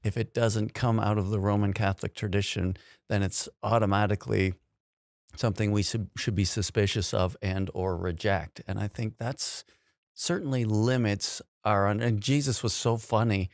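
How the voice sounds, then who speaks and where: A man's voice: fairly low pitch, fairly even intonation, moderate pace, no room sound, clean studio sound. A man in his fifties hosting a podcast in a casual conversational style, acting out imagined quotes. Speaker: podcast host. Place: small indoor podcast studio. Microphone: close studio mic on stand.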